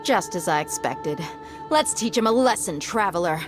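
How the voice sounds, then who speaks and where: A woman's voice: on the low side, animated, normal speed, over music, natural voice. A woman voicing a confident female desert mercenary in a game cutscene, cocky and teasing. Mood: smug. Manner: cocky, teasing, laid-back. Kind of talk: video game cutscene dialogue.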